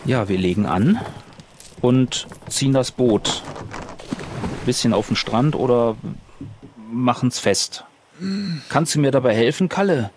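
A man's voice: mid-range voice, slow, pauses often, background noise. A man improvising casual in-character talk as a role-play player, hesitant and colloquial.

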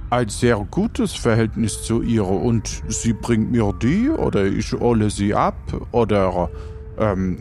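Halting French master chef played by a man. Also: fairly low pitch, darkish tone. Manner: halting, searching for words, drops in French exclamations. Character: French master chef, defensive and courteous restaurant owner. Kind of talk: improvised audio adventure acting.

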